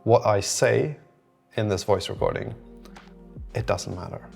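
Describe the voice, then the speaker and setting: A man's voice: fairly low pitch, wildly swooping pitch, unhurried, vocal fry, darkish tone, soft background music. A man rambling and thinking aloud in an unscripted, casual solo vlog monologue. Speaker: solo app developer vlogger.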